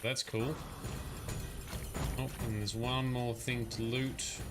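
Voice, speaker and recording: A man's voice: mid-range voice, flat delivery, slow pace, over music. A man streaming a video game, chatting loosely with viewers, often correcting himself and chuckling. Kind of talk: live gameplay commentary.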